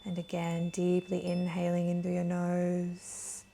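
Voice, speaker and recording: Very slow, soothing woman guiding meditation. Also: lowish voice, monotone, gravelly, faint music behind. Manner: gentle, soothing, unhurried with long pauses. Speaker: meditation guide. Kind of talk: guided meditation. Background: soft chimes.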